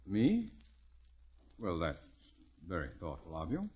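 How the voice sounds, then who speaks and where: A man's voice: low voice, huge pitch swings, takes it slowly, exaggerated voice. A man acting a stiff, pompous, easily offended rich neighbor in a 1950s radio comedy, with formal, clipped diction. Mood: stiffly polite. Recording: muffled, noisy old radio recording.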